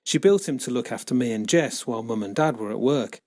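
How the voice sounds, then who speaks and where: A man narrates a children's storybook with a very animated pitch range. His voice has a husky edge and sits at a middling pitch. The delivery is expressive and dramatic. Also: fairly quick. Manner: expressive, dramatic character shifts. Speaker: author reading own book.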